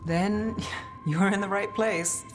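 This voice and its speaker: An actress playing a cool, world-weary, guarded detective in a video game, with dry, understated delivery. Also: female voice, mid-range voice, steady tone, slow pace, music in the background, lightly acted. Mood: dry, composed.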